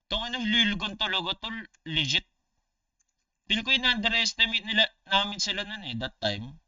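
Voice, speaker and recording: A Filipino man chatting casually on a livestream, telling stories in animated, slangy, swear-laden talk to his viewers. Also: sits high, moderate pace, dry recording, recorded from a distance, highs cut off. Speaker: gaming streamer. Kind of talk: casual storytelling livestream chat.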